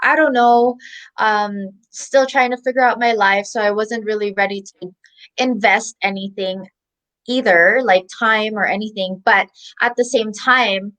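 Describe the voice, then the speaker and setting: A woman's voice: medium pitch, steady tone, a little slow, fairly bright tone, poor audio. A woman in her thirties from the Philippines, a guest telling her story in a casual, chatty interview with many restarts.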